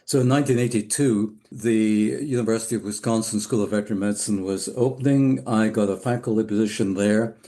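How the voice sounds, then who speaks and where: Mellow-voiced older Scottish man. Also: on the low side, steady tone. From west coast of Scotland. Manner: unhurried, reflective storytelling. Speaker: guest. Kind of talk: casual interview talk.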